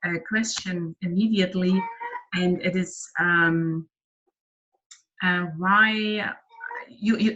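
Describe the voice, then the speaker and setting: A woman conducting a casual online interview, speaking haltingly and repeating words in an encouraging way. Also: lowish voice, a bit bright. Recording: muffled lo-fi call audio.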